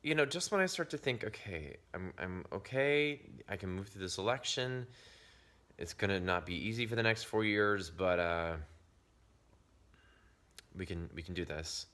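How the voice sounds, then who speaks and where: A very low-pitched man speaks to his camera in a hoarse, worn voice, sick with a cold. He chats in a confiding way and sometimes drops to a whisper to save what is left of his voice. The talk is casual and personal. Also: normal speed, bright, forceful tone. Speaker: vlogger. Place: outdoors in the woods.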